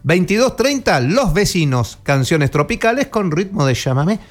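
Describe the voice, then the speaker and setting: Argentine man, brisk radio host. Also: sits high, big pitch swings. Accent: Argentine Spanish. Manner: brisk listings read-out with jokes. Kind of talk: casual radio chat.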